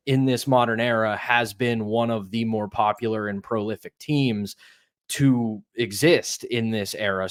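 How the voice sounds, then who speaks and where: A man's voice: medium pitch, clean tone, no echo, clean studio sound. A man in his thirties co-hosting a sports podcast, giving casual, opinionated commentary in a conversational way. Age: 30s. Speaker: podcast co-host.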